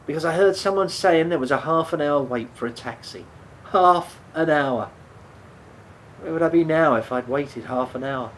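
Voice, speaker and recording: A man's voice: normal speed, natural voice. An actor in a radio play playing a remorseful, defensive man who speaks hesitantly, stumbling and repeating himself. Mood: defensive, regretful. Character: remorseful, defensive man haunted by a fatal drunk-driving crash. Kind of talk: naturalistic radio play dialogue. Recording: steady background noise, low rumble.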